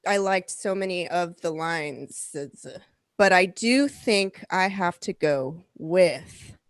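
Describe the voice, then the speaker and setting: A woman's voice: lowish voice, slow, clear, resonant tone, dry recording, clean studio sound. A woman who voice-acts, answering casually in an interview. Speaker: voice actor, cast member.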